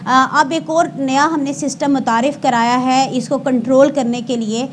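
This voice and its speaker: A woman with a Pakistani accent and a very high voice speaks as an official spokesperson. She explains things formally, pausing and correcting herself at times. There is faint noise behind her. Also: fairly even intonation. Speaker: emergency service spokesperson, interview guest. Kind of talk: explanatory interview answers with statistics, Urdu mixed with English.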